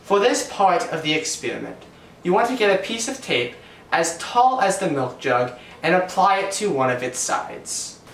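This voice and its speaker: Male instructional narrator, slightly high voice, animated pitch, scripted delivery, roomy sound. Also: moderate pace, a little hiss or hum. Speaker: presenter of a lab lesson.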